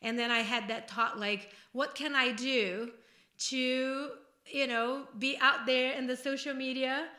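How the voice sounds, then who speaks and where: A woman's voice: high-pitched, steady tone, a little slow, pushed and bright. A middle-aged woman with a Brazilian accent, talking casually and earnestly as a podcast host in a rambling way. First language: Portuguese. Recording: roomy, echoey room sound.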